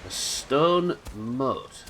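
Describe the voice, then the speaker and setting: A man with a nasal voice, a little hoarse from illness, chatting wryly and swearing casually while streaming a game live. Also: mid-range voice, animated, drawn-out words, fairly bright tone, a little hiss or hum. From United Kingdom. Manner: chatty, wry, swears casually, muttering asides. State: sick, slightly hoarse.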